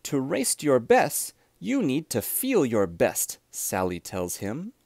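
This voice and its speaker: A man reads a children's storybook aloud with deliberate, expressive phrasing. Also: mid-range voice, creaky, dry recording, clean studio sound. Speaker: storybook reader.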